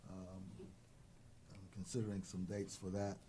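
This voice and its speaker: A man's voice: sits low, completely flat delivery, measured pace, heavy background noise. A man giving a town manager's report at a board meeting, hesitating with frequent ums. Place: council chamber.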